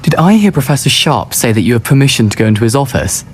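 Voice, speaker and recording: A man's voice: mid-range voice, sing-song, quick pace, slight background noise, natural voice. A man voicing a cheeky, persuasive teenage schoolboy in a video game, eager and coaxing, with a British accent. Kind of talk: video game character acting.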